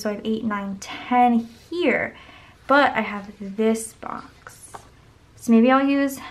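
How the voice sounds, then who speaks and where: A young woman with a voice going hoarse chats casually while she decorates her planner at a desk at home. She rambles and counts under her breath as she works. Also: a little high. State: losing her voice. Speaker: planner vlogger. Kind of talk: casual plan-with-me chat. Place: home desk, camera overhead.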